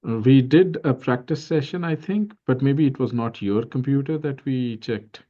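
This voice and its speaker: A man moderating an online pitch session, upbeat and reassuring, in short friendly turns. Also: moderate pace. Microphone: video call. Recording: dull lo-fi video call audio.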